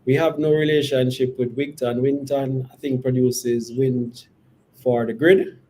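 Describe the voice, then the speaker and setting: A man, speaking as a company executive answering investor questions in a measured, hesitant, careful way. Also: medium pitch, poor audio. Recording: steady background noise.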